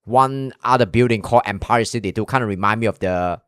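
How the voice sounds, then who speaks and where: A man's voice: lowish voice, brisk, a bit bright, clear, resonant tone, dry recording, clean studio sound. A young man from Malaysia with a Malaysian English accent, reacting to a video on stream in a rambling, casual way with frequent swearing and restarts.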